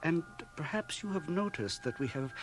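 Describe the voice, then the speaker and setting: An actor playing a fussy, soft-spoken undertaker, polite and delicately hesitant, in a radio drama. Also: male voice, a little high, animated, moderate pace, music in the background, close to an everyday voice. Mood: unctuous, confiding. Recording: 1980 radio drama, home cassette transfer.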